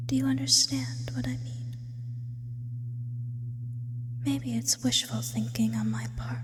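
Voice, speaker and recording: A woman voicing a lonely, brooding but tender sleep demon in an intimate, deliberate ASMR roleplay monologue. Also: a little high, noisy background, voice pushed up, close to an everyday voice. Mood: wistful, confessional. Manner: slow, deliberate, philosophical, intimate delivery. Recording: long hall-like reverb.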